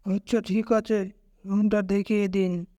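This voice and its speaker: Woman as young cartoon traveller, uneasy, medium-pitched, dry recording. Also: level tone, measured pace, mellow, no echo, natural voice. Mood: polite.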